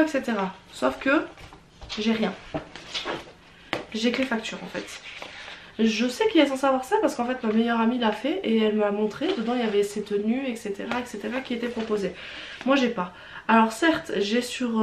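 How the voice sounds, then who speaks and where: A young Swiss French woman, congested from a cold, chats casually and candidly, stumbling and restarting as she goes. Her voice sits a little high. The room is roomy and echoey, with a faint hiss of noise behind her. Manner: chatty, hesitant, frank, many fillers and restarts. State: stuffy from a cold. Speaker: vlogger. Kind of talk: casual unboxing and try-on chat.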